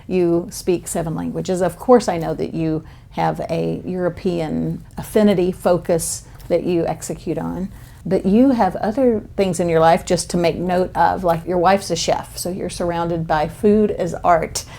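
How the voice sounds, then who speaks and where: A woman's voice: lowish voice, fairly even intonation, unhurried, gravelly. A woman hosting a podcast interview, effusive and affirming, asking long, winding questions. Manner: effusive, warm praise, frequent affirmations. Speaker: podcast host. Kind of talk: casual interview chat.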